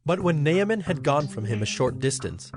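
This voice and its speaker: A man's voice: on the high side, very animated, moderate pace. Male scripture narrator over music. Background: instrumental music.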